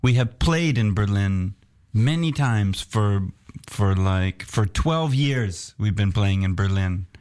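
Playful man, lively slow talk. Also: on the low side, clear, resonant tone. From United States. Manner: playful, joking, often laughing, halting restarts. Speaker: guest musician. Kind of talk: casual interview chat. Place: rooftop terrace outdoors, cold day.

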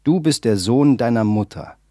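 A man voicing a mother who answers her son firmly and deliberately, as if instructing him. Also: medium pitch, mellow, dry recording, studio quality, lightly acted. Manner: firm, measured, instructive. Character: calm, proud mother lecturing her grown son.

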